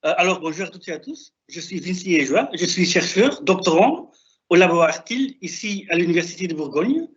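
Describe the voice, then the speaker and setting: A young man who is not a native French speaker, with a non-native accent, giving a halting, didactic academic presentation over a video call. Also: sits high, animated. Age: young adult. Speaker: doctoral researcher presenting. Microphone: video call, presenting remotely. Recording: dull, band-limited online call audio.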